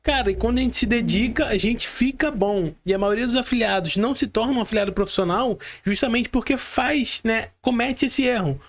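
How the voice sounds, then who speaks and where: A man's voice: extremely high pitch, a little fast, few pauses. A man with a Brazilian Portuguese accent hosting a conversational interview, explaining points and often checking for agreement with short tag questions. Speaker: podcast host.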